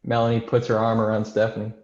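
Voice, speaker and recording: A man's voice: lowish voice, monotone, quick pace, a little mellow. Male screenplay narrator: scripted delivery, mid-range voice, roomy and slightly dull recording. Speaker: narrator of stage directions.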